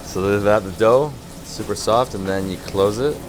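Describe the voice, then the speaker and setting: Male food vlog host, curious and chatty, low-ish voice, loud kitchen background. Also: moderate pace, noisy background. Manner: curious, asks lots of questions. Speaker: travel food vlogger host. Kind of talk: casual on-location chat. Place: restaurant kitchen.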